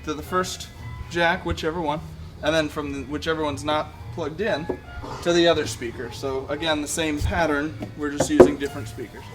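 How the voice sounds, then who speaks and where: A man's voice: a little high, fairly even intonation, moderate pace, over loud music, poor audio. A man presenting an informal hands-on equipment tutorial in a practical, conversational, explanatory way.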